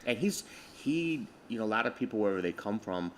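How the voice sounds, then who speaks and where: A man's voice: lowish voice, sing-song, brisk, slight background noise. A man hosting a casual interview, asking eager questions that trip over themselves. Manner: enthusiastic, stumbles over his questions. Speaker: podcast host.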